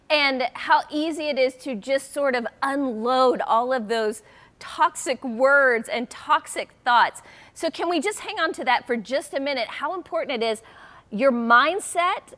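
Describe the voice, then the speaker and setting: A woman's voice: high-pitched, fairly bright tone. A woman hosting an interview in a conversational style, her voice carrying a long reverb. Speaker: interview host. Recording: long reverberant hall-like echo.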